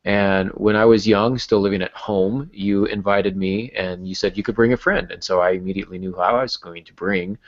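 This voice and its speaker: A man's voice: fairly low pitch, fairly even intonation, fairly quick, few pauses, highs cut off. A man co-hosting a podcast in casual chat, talking in a chatty, personal storytelling way. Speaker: podcast co-host.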